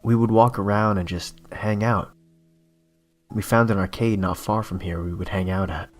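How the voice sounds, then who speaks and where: A man's voice: on the low side, fairly even intonation, normal speed, faint music behind, close to an everyday voice. A man playing a cowed, crude teenage vampire thrall in a horror audio story. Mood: ashamed, nervous.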